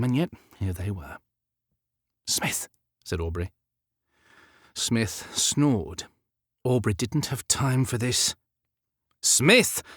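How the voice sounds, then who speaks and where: A man's voice: lowish voice, no echo, studio quality. A man narrating a period romance audiobook as scripted fiction.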